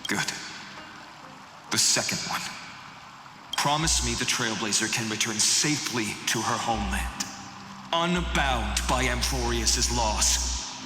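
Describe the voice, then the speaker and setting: Casting: male actor for a resolute young game hero. Earnest, deliberate, slow delivery in an echoing space with music behind. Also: mid-range voice, lightly acted. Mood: resolute, solemn. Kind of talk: video game voice acting. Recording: roomy long reverb, music underneath.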